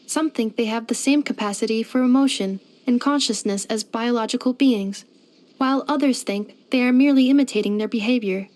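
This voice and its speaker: A woman's voice: on the high side, darkish tone, close to an everyday voice. A woman voicing a polite, earnest female robot in a video game, speaking formally and precisely. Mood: calm, reflective. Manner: formal, precise, measured delivery with clinical machine-like phrasing. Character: polite female robot maid, earnest, gentle and literal-minded. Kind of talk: scripted video game character voice lines.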